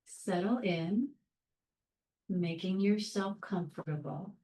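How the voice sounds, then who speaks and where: A woman leading a guided meditation in a calm, soothing, measured way, leaving long pauses between short phrases. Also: medium pitch, roomy sound, low-quality recording. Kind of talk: guided meditation, soft instruction.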